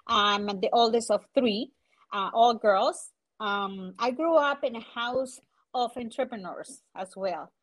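A woman's voice: mid-range voice, a bit bright, rough recording. A woman in her forties from Chile with a Chilean Spanish accent, speaking English as a second language and searching for words as an interview guest. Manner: halting, searching for words, frequent fillers. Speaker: guest entrepreneur. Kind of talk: casual interview.

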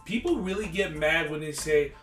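A man co-hosting a podcast in casual chat, animated and joking. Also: a little high, normal speed, faint music behind. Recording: echoey room, mic far off.

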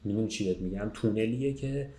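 A man's voice: fairly low pitch, mellow. A man telling a story casually in conversation, checking in with the listener as he goes. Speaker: podcast speaker telling a story. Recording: roomy, echoing sound.

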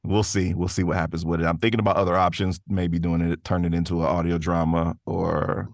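A man's voice: deep voice, level tone, fairly quick, vocal fry, no echo, studio quality. A man speaking as an interview guest, telling stories in a casual, modest, easygoing way. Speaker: interview guest, voice actor and creator. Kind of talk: casual conversational storytelling.

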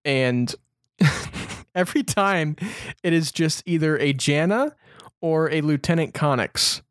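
A man's voice: fairly high pitch, expressive, takes it slowly, a bit bright, smooth, no echo, studio quality. A man chatting casually and enthusiastically as co-host of a fan podcast.

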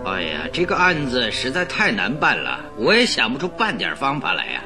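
A man's voice: mid-range voice, huge pitch swings, moderate pace, music in the background. A man playing a polite detective in a radio drama, courteous and feigning helplessness. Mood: mock-humble. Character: polite mock-humble male detective.

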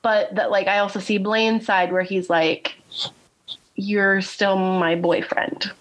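A woman talks casually as a podcast co-host, going over a show in an easy conversational way. Her pitch is average for a woman. Faint noise and clicks sit on the recording. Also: little pitch movement, slow pace, low-quality recording. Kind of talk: casual chat recapping a TV show.

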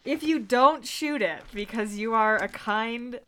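A woman's voice: fairly high pitch, measured pace, sharp tone. A woman podcast host casually recapping and reading from a book in a wry, amused way. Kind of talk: casual book discussion with plot recap and read-out passages.

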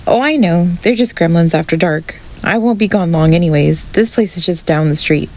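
A woman's voice: fairly low pitch, moderate pace, a little mellow, close to an everyday voice. A woman playing a caring, busy mother in a radio play, with naturalistic acting.

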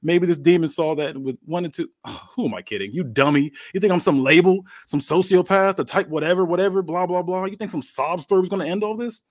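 A man's voice: on the high side, expressive, brisk, hardly any pauses, dry recording, clean studio sound, pitched above the performer's own voice. Man acting out a cocky, theatrical wrestling villain. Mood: scornful gloating. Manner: taunting, theatrical, domineering. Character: cocky, sadistic, taunting male wrestling villain with fake remorse. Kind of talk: dramatic acted wrestling promo.

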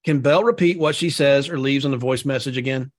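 A man moderating a group call, speaking quickly with stumbling restarts. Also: mid-range voice, dry recording, clean studio sound.

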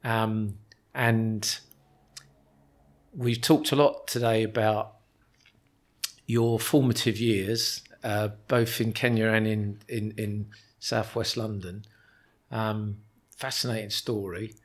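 A man hosting a podcast interview, asking questions and summing up his guest's story in a measured, encouraging way. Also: fairly low pitch, steady tone. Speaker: podcast host. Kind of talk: interview conversation.

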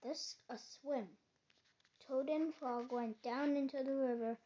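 A child reading a children's storybook aloud in a halting, stumbling way. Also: sits high, steady tone, mellow, slight background noise. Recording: dull lo-fi recording.

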